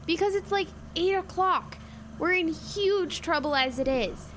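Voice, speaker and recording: A woman's voice: very high voice, unhurried, fairly bright tone, slight background noise. A young female actor playing a worried, exasperated and responsible girl who pilots a boat in an audio drama. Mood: exasperated, upset.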